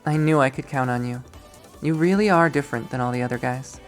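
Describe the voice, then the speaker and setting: A man voicing a sweet but scheming young college woman, coy and swooping up high as he reads a visual novel. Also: brisk, faint music behind, natural voice. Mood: grateful.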